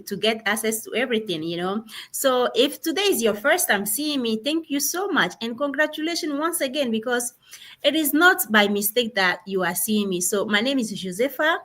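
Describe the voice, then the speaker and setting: Bright, upbeat high-voiced woman. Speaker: co-host. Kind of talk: live stream introduction.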